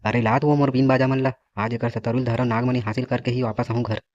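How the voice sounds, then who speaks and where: Man acting bossy cartoon snake-charmer, rapid speech, Chhattisgarhi accent, boastful, scolding, muffled recording. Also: medium pitch, little pitch movement, a little mellow, natural voice. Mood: boastful urging. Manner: brash, scolding. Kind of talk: comic cartoon dialogue. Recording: dull lo-fi audio, faint noise.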